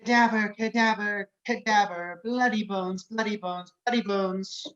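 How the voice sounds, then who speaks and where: A woman's voice: mid-range voice, steady tone, a little slow, a bit bright, muffled recording, close to an everyday voice. A woman playing a childlike, put-upon witch sister with plaintive, simple archaic wording in a script read. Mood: chanting.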